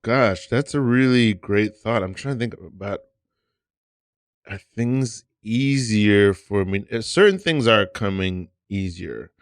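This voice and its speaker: A man in his late thirties hosts a podcast from a studio. His voice sits low and sounds close and dry. He talks loosely, restarting sentences and checking in with his listeners. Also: animated, takes it slowly, dry recording. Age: 30s. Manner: rambling, restarts, plenty of "you know what I mean". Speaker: podcast host. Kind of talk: casual chat.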